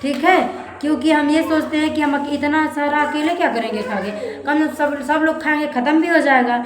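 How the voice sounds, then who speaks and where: High-voiced Bhojpuri-accented woman, echoey room. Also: steady tone, moderate pace, crowd noise. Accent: Bhojpuri-accented Hindi, eastern Uttar Pradesh. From eastern Uttar Pradesh, India. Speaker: family vlogger. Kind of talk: casual confiding vlog chat to viewers. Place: indoors in a rented room. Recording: echoey room with clear background noise.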